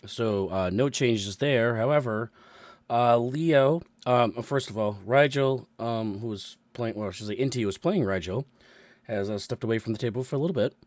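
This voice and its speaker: A man with a cold, hoarse, hosting and running a tabletop game session, halting with many fillers. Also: medium pitch, dry recording. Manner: halting, many ums and restarts. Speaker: dungeon master and show host. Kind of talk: casual announcements and chat. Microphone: new microphone.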